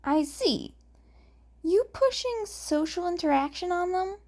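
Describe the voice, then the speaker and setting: A woman's voice: very high-pitched, animated, measured pace, a little mellow, pitched above the performer's own voice. A woman voicing a playful, teasing adult man, a big brother, in story dialogue.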